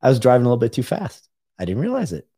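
A man's voice: mid-range voice, huge pitch swings, very fast, dark tone, breathy edge. A middle-aged man, a guest on a podcast, telling stories emphatically and chiming in with "right" as he chats casually. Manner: emphatic storytelling, frequent "right". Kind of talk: casual conversation.

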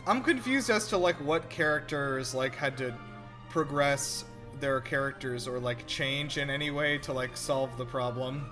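A man's voice: mid-range voice, unhurried, over music. A man hosting a casual film reaction chat with wry, teasing banter. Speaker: podcast host.

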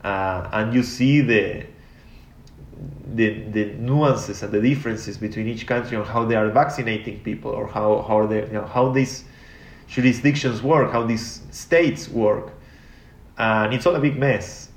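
A man's voice: lowish voice, a little slow, a little hiss or hum. A man from Argentina, speaking as an interview guest in a reflective, rambling way with many restarts. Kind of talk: casual interview talk. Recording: echoey room.

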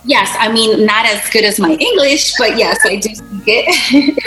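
A woman's voice: on the high side, measured pace, sharp tone. A businesswoman from Brooklyn, answering interview questions in a chatty way with lots of fillers. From Brooklyn, New York. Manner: chatty, laughs easily, many fillers. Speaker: interview guest, business owner. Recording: roomy echo, faint music behind.

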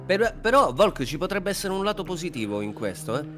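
Animated man over a music bed. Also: sits high, brisk. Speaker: podcast co-host. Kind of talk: comic banter in a radio sketch. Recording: clear music bed behind the voice.

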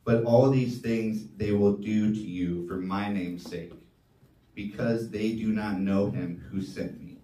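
A man leading a church service, reading scripture with measured emphasis and preaching. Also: lowish voice, fairly even intonation, warm tone, slight background noise. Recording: roomy sound from a distance.

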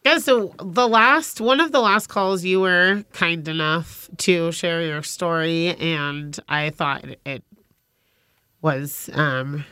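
Low, bright-voiced woman host. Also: slow pace, clean tone, dry recording. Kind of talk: casual interview.

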